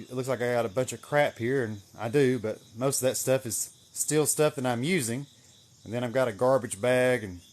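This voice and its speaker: A man chatting casually on an outdoor livestream as he gives a garden tour, with a folksy, rambling manner and some mild swearing. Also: medium pitch. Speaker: livestream host showing his greenhouse garden.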